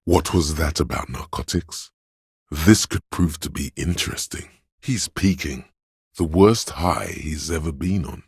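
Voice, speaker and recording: A man's voice: measured pace, dry recording, clean studio sound, full character voice. A man voicing a video game character, the inner voice of addiction, a sly, gleeful hedonist who coaxes and goads theatrically. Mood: sly, amused. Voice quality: very deep, husky. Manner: sly, insinuating, theatrical, goading.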